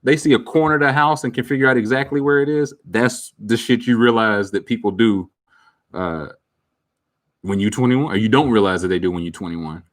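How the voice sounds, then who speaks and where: A man around thirty talks casually with his viewers, candid and reflective. His voice sits at a middle pitch, and his speech is loose, with slang and fillers. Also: fairly quick. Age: about 30. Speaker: streamer answering viewer questions. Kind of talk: casual chat.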